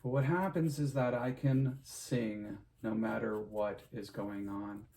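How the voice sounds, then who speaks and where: A middle-aged man with a somewhat low voice and a gravelly, creaky edge talks straight to his audience. He is an opera singer telling stories from his career in a casual, meandering monologue, stopping and restarting his sentences, and he closes with kind advice to his viewers. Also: steady tone, takes it slowly. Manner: chatty, full of fillers and restarts, warm sign-off advice.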